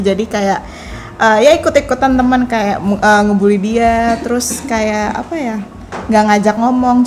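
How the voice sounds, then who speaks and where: Hesitant woman in her twenties answering questions. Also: a little high. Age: 20s. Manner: hesitant, many filler sounds. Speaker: interviewee. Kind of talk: casual conversational answers.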